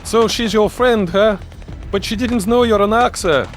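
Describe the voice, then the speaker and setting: A man's voice: high voice, unhurried, faint music behind, voice pushed up. A man voicing a brash, insistent human male soldier in an audio story. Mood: needling. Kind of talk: audio story character dialogue.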